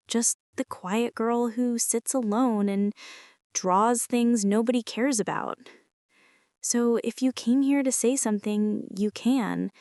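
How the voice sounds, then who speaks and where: A woman playing a shy, self-doubting teenage art girl in a girlfriend ASMR roleplay, speaking in a nervous, halting, rambling way. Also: a little high, darkish tone, no room sound, clean studio sound. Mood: guarded, hesitant.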